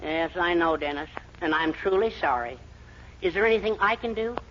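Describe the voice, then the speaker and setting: An actor playing a fussy, henpecked middle-aged American husband and eager schemer, blustering and hesitant in 1940s radio comedy style. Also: male voice, a little high, moderate pace, natural voice. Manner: excitable, blustering, hesitant stammers. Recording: dull, noisy 1940s radio recording.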